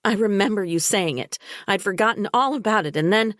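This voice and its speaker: A woman voicing a distraught young woman who pleads loudly and insistently. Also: medium pitch, brisk, no room sound, clean studio sound, pitched above the performer's own voice, close to an everyday voice. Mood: pleading.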